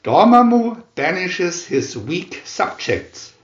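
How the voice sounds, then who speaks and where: A man narrates comic book captions in a theatrical announcer manner, very slowly and with long pauses. His voice is a bit high for a man and swings widely in pitch. The room adds noticeable echo. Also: big pitch swings, highs cut off. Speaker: narrator reading View-Master booklet captions.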